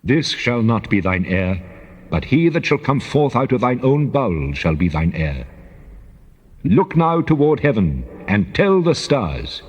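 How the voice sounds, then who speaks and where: A man voicing God as a solemn, commanding divine figure, declaiming deliberately in a dramatized scripture reading. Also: medium pitch, big pitch swings, slightly rough, muffled recording. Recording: long cavernous reverb.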